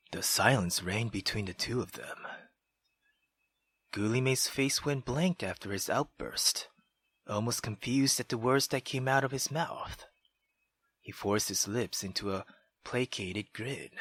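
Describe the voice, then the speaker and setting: A man doing excitable, slangy let's play commentary and dramatic read-aloud narration of a visual novel. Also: on the high side, no room sound. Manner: theatrical, slangy, exclamatory.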